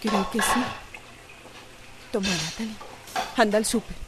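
A woman's voice: mid-range voice, a little slow, background noise, natural voice. An actress with a Costa Rican accent playing an anxious but defiant wife and mother in a radio soap opera. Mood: nervous.

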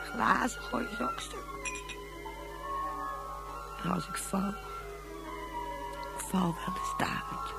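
A woman's voice: lowish voice, creaky, heavily acted, poor audio. An actress playing a drunken, anxious mother in a science-fiction radio play, rambling and clinging. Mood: agitated, then wheedling, hushed. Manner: rambling, repetitive, slurred and dramatic.

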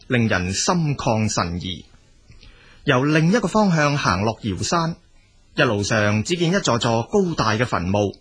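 A male storyteller reads scripted folk narration with a Cantonese accent. His voice is husky and sits at a medium pitch. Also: fairly bright tone.

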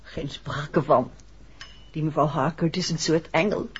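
A detective's inquisitive wife in a vintage radio drama. She chats informally and confidingly in a strongly husky voice. The old recording has a dull, muffled tone. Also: deep voice, measured pace, full character voice. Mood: chatty, curious. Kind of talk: 1960s Dutch radio play acting.

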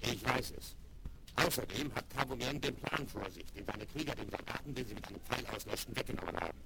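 Man playing a gravelly Western frontiersman, crackly record. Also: medium pitch, a little fast, natural voice. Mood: scheming. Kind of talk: 1970s German radio play acting. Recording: noisy, crackly vinyl rip.

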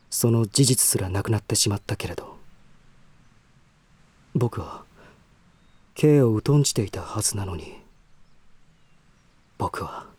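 Breathy, troubled young gentleman. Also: lowish voice, dry recording. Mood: brooding, uneasy. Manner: hesitant, introspective, formal. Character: troubled, reserved young gentleman brooding over his half-brother. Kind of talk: Japanese voice drama acting with inner monologue.

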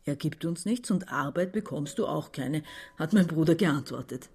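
A woman's voice: low-pitched, animated, normal speed, darkish tone, slightly rough, soft background music, heavily acted. An actress playing a bitter, domineering woman in her forties in a radio play, insistent and condescending. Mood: bitter, confiding. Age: 40s. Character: domineering, bitter sister masterminding a robbery. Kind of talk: radio play dialogue and monologue.

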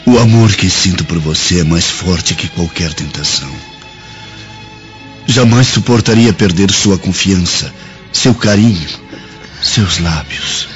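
Deep, slow, amorous Roman husband. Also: male voice. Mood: tender, seductive. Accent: Brazilian. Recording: dull lo-fi radio drama, music behind.

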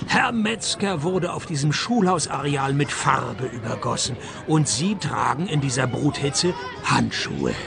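An actor playing a wry, sardonic private detective in a radio play, with a dry, deadpan, mocking delivery. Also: male voice, mid-range voice, a little slow, vocal fry, a crowd in the background. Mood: dry teasing. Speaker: lead actor and narrator. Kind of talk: radio detective play, scripted narration and dialogue.

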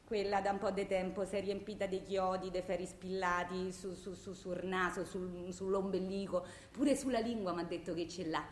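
A woman's voice: medium pitch, little pitch movement, moderate pace, hardly any pauses, a little hiss or hum, lightly acted. An actress playing a distraught, volatile blind wife with a Roman accent, rambling and self-justifying in agitated messages to her lawyer in a radio monologue. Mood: brooding, rambling. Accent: Roman Italian. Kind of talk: theatrical radio monologue, framed as phone messages. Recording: roomy, echoing sound.

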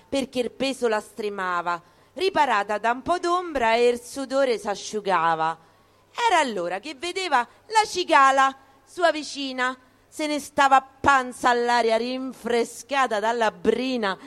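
A woman's voice: fairly high pitch, fairly bright tone. A woman with a Roman accent reciting a rhyming fable in a rhythmic storytelling style. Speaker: poetry reader.

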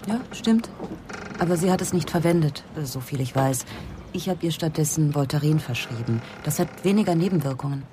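A woman's voice: sits low, animated, a little fast. A woman playing a matter-of-fact doctor in a radio drama, giving guarded, factual answers. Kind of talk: German radio drama acting. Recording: clear background noise.

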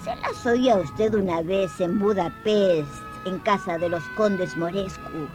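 An actress playing a gushing, elegant society hostess in a radio drama, affected and effusive. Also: female voice, mid-range voice, a little slow, music in the background, close to an everyday voice. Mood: coaxing, flattering.

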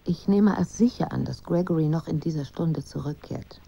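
Wounded, pensive wife for radio play: very dark, creaky, husky voice, confiding, slightly muffled sound. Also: female voice, low voice, normal speed, exaggerated voice. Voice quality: husky, breathy. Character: wounded, pensive married woman writing to her father. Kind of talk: radio drama monologue.

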